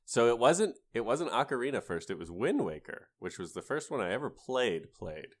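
A man's voice: mid-range voice, sing-song, normal speed. A man co-hosting a gaming podcast, telling casual stories with playful mock-epic flourishes and free swearing. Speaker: podcast co-host.

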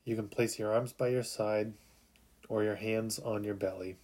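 Calm guy w a low mellow voice doing a sleep meditation, slow and soothing, lots of pauses. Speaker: meditation guide. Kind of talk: guided sleep meditation narration.

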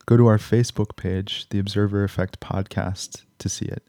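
A man's voice: on the low side, little pitch movement, measured pace, dark tone, no echo, clean studio sound. A man hosting a podcast, reading scripted narration to introduce an episode. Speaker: podcast host.

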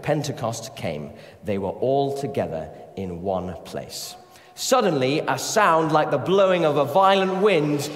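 A man's voice: mid-range voice, slight background noise. A man giving an interactive church talk, addressing a congregation in a playful, encouraging manner. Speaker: church speaker leading a talk. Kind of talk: interactive sermon with scripture reading. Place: large church, speaking to a congregation. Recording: echoey church hall, reverberant.

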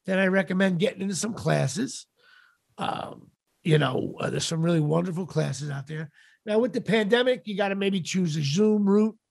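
A middle-aged man with a raspy voice, chatting casually as an interview guest in a wry, self-deprecating, halting way. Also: high voice, no room sound, clean studio sound. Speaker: podcast guest, actor and comedian.

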